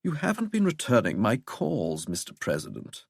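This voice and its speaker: Man putting on a smug, droning English gentleman villain. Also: medium pitch, huge pitch swings, normal speed, creaky, slightly rough, no echo, studio quality, full character voice. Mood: smooth, needling. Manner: droning, posh, mock-courteous. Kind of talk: audiobook character voice.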